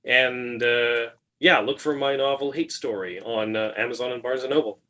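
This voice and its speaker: A man from Canada speaks casually about himself on a video call. His voice is of average pitch, in an informal introductory style. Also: fairly even intonation, moderate pace, sharp tone. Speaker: novelist and poet.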